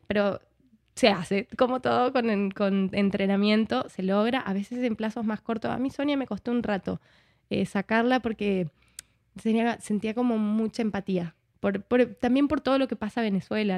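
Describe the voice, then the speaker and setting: A woman speaking as a guest in a reflective interview, expressive and conversational, often voicing bits of dialogue as she talks. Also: mid-range voice, moderate pace. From Argentina.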